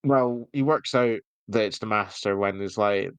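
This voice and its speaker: A man's voice: lowish voice, normal speed, clean tone, no room sound. A man chatting casually as a podcast co-host, jokey and often laughing through his words. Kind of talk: casual chat reviewing an audio drama.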